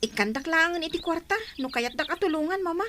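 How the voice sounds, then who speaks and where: A young expectant mother in an Ilocano radio drama. Her voice is very high, and she is devoted and stubborn about the man she loves. A faint noise sits behind her. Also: animated, quick pace, fairly bright tone. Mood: happy, insistent. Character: pregnant young woman, devoted and stubborn in love.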